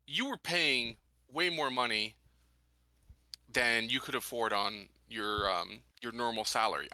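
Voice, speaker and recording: A man talking casually as a player in a tabletop roleplaying game, thinking aloud in a deliberate, halting way. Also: medium pitch, pushed and bright, no echo. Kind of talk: casual in-character and out-of-character game talk.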